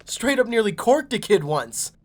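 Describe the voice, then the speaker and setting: A man's voice: high voice, sing-song, normal speed. A young man voicing a laid-back, boastful, upbeat spaceship crewman in a video game fan dub, with a breezy, slangy manner.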